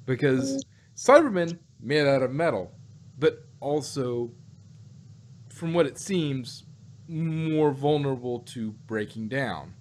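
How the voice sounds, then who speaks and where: A man talks casually with a medium-pitched voice. He rambles and halts, doubling back on words as he speculates like a fan on a podcast. There is some noise behind him. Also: sing-song. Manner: rambling, halting, repeats words. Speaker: podcast host reviewing audio dramas. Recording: noticeable background noise.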